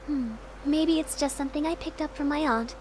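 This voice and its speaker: A woman's voice: sits high, moderate pace, a little mellow, a little hiss or hum, natural voice. A woman playing a playful, teasing young woman in a visual novel game, with music behind her. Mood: casual, wistful.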